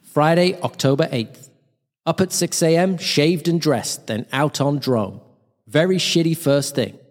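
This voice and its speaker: A man's voice: a little high, unhurried, close to an everyday voice. A man playing a wartime air force airman, reading a blunt, slangy diary in a terse, clipped manner. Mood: matter-of-fact. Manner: clipped, terse, matter-of-fact.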